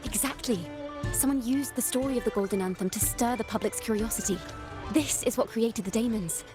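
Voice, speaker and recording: A woman's voice: on the high side, brisk, natural voice. A woman playing a bold, defiant young poet and orator in a video game, speaking in an earnest, theatrical, declamatory way. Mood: calm, firm. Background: background music.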